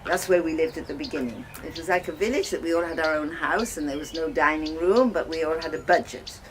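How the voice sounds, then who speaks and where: An older woman from Wales telling her life story casually, reminiscing in a halting, conversational way. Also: deep voice. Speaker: host of guest lodging, farmer's wife. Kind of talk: casual personal storytelling to guests. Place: informal talk to visitors, filmed by a guest. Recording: noisy ambient home video.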